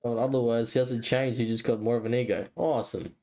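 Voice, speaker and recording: A man's voice: mid-range voice, level tone, fast, warm tone. A man co-hosting a podcast in casual chat, explaining things in a hesitant, rambling way. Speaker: podcast co-host.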